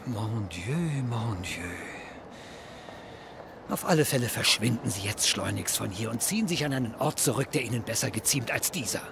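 An actor playing an exasperated hotel manager in a radio play, pompous, curt and scolding. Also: male voice, medium pitch, expressive, normal speed, creaky, noisy background. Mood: disdainful. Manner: curt, pompous, scolding, drops French phrases. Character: haughty, exasperated male hotel reception manager.

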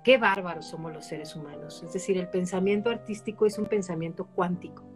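Woman host, low-ish voice, chatty and animated, faint music under. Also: brisk. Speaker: interviewer host. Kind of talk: casual live-stream conversation. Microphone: online live-stream.

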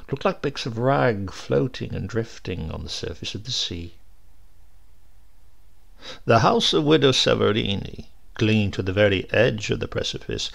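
A man narrating a dark short story in a grave, measured, dramatic way. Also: mid-range voice, creaky. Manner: measured, grave, slow phrasing.

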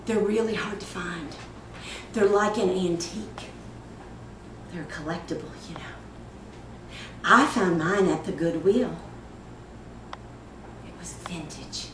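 A woman playing a disturbed, wistful, eerily childlike mother in a dramatic film monologue, halting and drifting between murmurs and sudden emphasis. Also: on the low side. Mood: fond, wistful. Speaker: actor performing a film monologue. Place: echoey indoor studio room. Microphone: distant microphone. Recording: echoey room, distant mic, clear noise.

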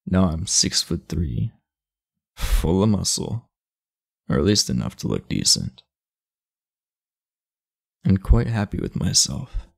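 Casting: man as aloof high school bad boy for ASMR roleplay, very gravelly low voice, blunt yet confiding. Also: darkish tone, full character voice. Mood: frank, confiding. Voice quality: gravelly, husky. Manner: laid-back, blunt, confiding. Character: aloof, muscular, blunt teenage class delinquent, secretly earnest. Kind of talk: intimate ASMR roleplay acting.

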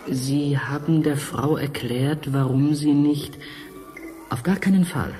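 An actor playing a mysterious, intimate man coaxing a goddess in a science fiction radio play, with slow incantatory phrasing. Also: on the high side, fairly even intonation, warm tone. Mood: solemn, hypnotic. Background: music.

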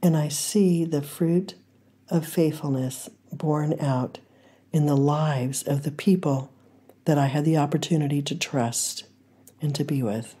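An older woman with a hoarse, husky voice that sits low and dark. She talks and prays gently and earnestly, pausing often as she goes. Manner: gentle, earnest, with frequent pauses and fillers. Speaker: podcast host. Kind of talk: devotional talk and prayer.

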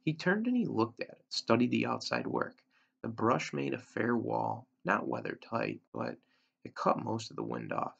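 A man in his thirties with a gravelly, husky voice reads a story aloud, recorded on a webcam in a room at home. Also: mid-range voice, sing-song, normal speed, a bit bright. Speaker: teacher reading aloud. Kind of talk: storybook read-aloud narration. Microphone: computer webcam at arm's length. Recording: dull lo-fi webcam sound.

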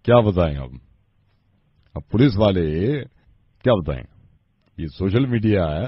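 A man's voice: deep voice, expressive, measured pace, husky, no echo, pitched below the performer's own voice, heavily acted. Man putting on stern police officer, interrogating, impatient, swooping intonation, dull radio prank-call sound. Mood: probing.

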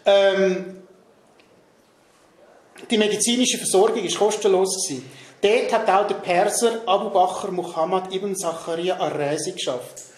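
A man's voice: high voice, a little slow. A man in his thirties with a Swiss German accent, presenting a prepared talk at a discussion evening, often stumbling over names with ums and restarts. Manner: reads from notes with frequent um and restarts on names. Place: meeting room in a large hall, seated at a table. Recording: roomy hall with faint noise.

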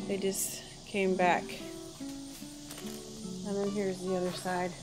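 A woman's voice: medium pitch, monotone, slow, low-quality recording. Woman, cheerful chatty garden tour, outdoors on a handheld phone, guitar music under it. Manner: chatty, cheerful, thinks aloud. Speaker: homesteader vlogger. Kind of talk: casual walking garden tour. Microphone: phone camera held while walking.

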